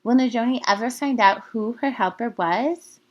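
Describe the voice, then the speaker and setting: A woman's voice: a little high, normal speed, no echo, muffled recording. A woman reading scripted narration from a children's book as host of a story time.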